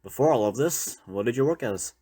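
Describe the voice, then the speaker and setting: A man's voice: medium pitch, lively intonation, a little fast, warm tone, breathy edge. A man playing a weary former doctor surviving a zombie apocalypse, talking in a reflective, conversational way to a trusted partner in an intimate audio roleplay. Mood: restless. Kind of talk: intimate audio roleplay, one-sided dialogue.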